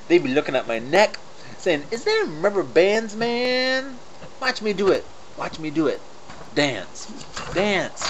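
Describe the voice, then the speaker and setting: A man's voice: fairly high pitch, pitched above the performer's own voice. A man playing a bold, mock-dramatic reciter, declaiming rap lyrics with exaggerated theatrical emphasis. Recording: noisy lo-fi webcam audio.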